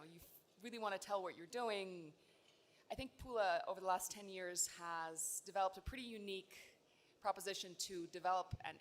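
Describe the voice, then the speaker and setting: A woman from Tanzania, a startup founder, talking on a panel in a loud conference hall, telling stories in a relaxed, self-deprecating way with many fillers. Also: mid-range voice. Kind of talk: conversational panel answer.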